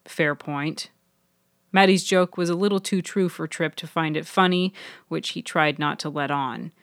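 Woman narrating a story podcast, lowish voice, measured, really dry close recording. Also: dry recording. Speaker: author and narrator. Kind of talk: scripted fiction narration.

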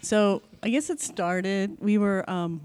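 A woman from Minneapolis, a guest parent, explaining things in an unhurried, thoughtful way. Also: medium pitch, a little mellow, clean tone. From Minneapolis, Minnesota, USA. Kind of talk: casual interview answers.

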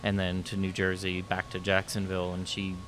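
A man's voice: deep voice, completely flat delivery, brisk, a bit bright, low-quality recording. A man in his fifties from the United States, talking casually as an interview guest and reminiscing. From US: born in New York, raised in Florida and South Carolina. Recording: clear background noise.

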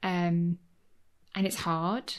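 A woman's voice: fairly low pitch, flat delivery, very slow. A woman, chatting casually as a guest and rambling with fillers and restarts. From Leeds, Yorkshire, England. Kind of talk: casual conversation. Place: home in Cornwall.

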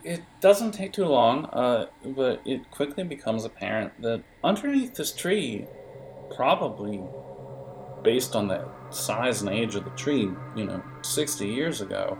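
A man improvising the narration of a tabletop adventure as game master, in a halting, conversational way. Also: medium pitch, sing-song, slight background noise, low-quality recording.

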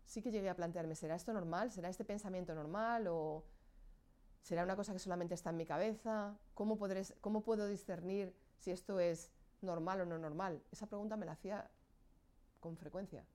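A woman speaking Spanish as a second language, telling her own story with frequent pauses and restarts. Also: fairly low pitch, mellow. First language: not Spanish. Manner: hesitant, searching for words with frequent restarts. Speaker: guest sharing her experience. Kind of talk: candid personal account.

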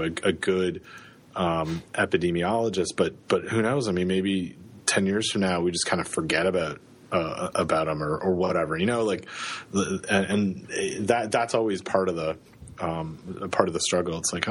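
A man co-hosting a podcast in casual chat, halting with many fillers and restarts, sniffling from a cold, close to the microphone. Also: low voice, husky. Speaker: podcast co-host. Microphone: close studio microphone.

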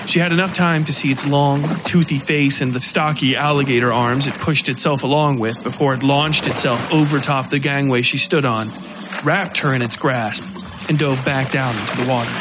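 A man's voice: medium pitch, noisy background. A man reading scripted horror fiction as the narrator of an audio story.

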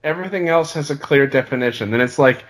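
Male podcast co-host, medium pitch, blunt and profane, informal banter. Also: fast, fairly bright tone, rough recording. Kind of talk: casual banter.

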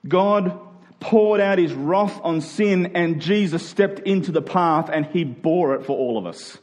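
Higher-voiced male preacher, emphatic and rhetorical. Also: unhurried, a little mellow. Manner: emphatic, rhetorical questions and repetition. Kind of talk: sermon to a congregation.

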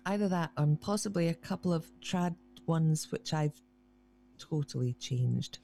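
A woman's voice: low voice, expressive, measured pace, vocal fry, mellow. A woman harpist, chatting casually and self-deprecatingly as a guest in an interview, often restarting her sentences.

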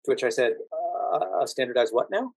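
A man's voice: mid-range voice, animated, normal speed, gravelly, slightly rough, dry recording. A middle-aged man from the United States speaking as a podcast guest, explaining and telling anecdotes conversationally. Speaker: podcast guest, actor and standardized patient.

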